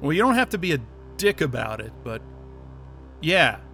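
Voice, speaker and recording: Trapped software developer, audio drama, high tense voice, sarcastic, angry, defiant, agitated, faint music underneath. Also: male voice, big pitch swings, moderate pace, vocal fry, heavily acted. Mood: sullen defiance. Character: angry, sarcastic, panicked male software developer held captive. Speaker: captive protagonist in an audio drama.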